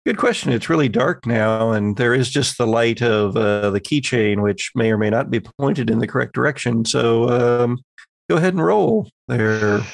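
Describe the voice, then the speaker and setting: A man works as game master, narrating a tabletop horror game. His voice is creaky and slightly low-to-middle in tone, recorded close and dry. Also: moderate pace, no echo.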